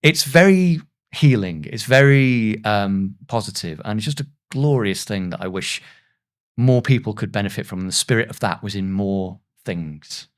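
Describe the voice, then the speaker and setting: A man speaking reflectively as an interview guest, earnest and self-deprecating, with halting pauses as he gathers his thoughts. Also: lowish voice, expressive. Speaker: voice actor, podcast guest.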